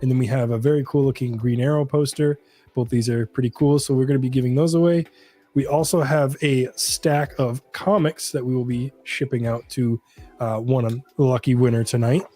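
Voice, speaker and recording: Mellow-voiced man co-hosting a stream. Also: mid-range voice, fairly even intonation, normal speed. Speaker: live stream co-host. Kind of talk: casual explaining of giveaways.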